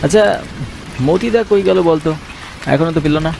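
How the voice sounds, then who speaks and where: A man's voice: fairly high pitch, moderate pace, creaky, voice pushed up. A man playing a sceptical young city worker back in his village, earnest and questioning, in a horror cartoon. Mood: casual. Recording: rain and thunder noise behind.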